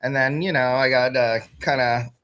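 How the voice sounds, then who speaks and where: A man's voice: mid-range voice, steady tone, moderate pace, a bit bright. A middle-aged man from Hawaii chatting casually as a podcast guest, laid-back, swearing and laughing often. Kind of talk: casual chat.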